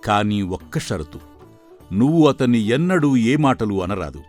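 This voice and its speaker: A man playing a stern, knowing sage in an animated folktale, admonishing and explaining. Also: lowish voice, measured pace, soft background music. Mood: solemn, commanding. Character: stern male sage, secretly a scheming magician in disguise.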